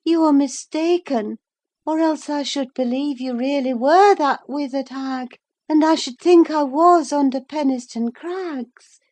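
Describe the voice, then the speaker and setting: A woman reading an audiobook, voicing a delirious, frightened young woman in a high, breathless, anxious voice. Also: measured pace, darkish tone. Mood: dreamy. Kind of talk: audiobook character voice.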